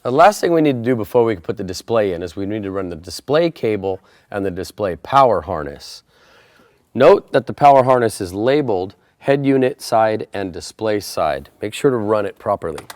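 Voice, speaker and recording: A man's voice: on the low side, lively intonation, moderate pace, a little mellow, no echo. A man presenting a how-to installation tutorial, explaining each step in a plain, methodical way. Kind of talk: step-by-step instructional narration.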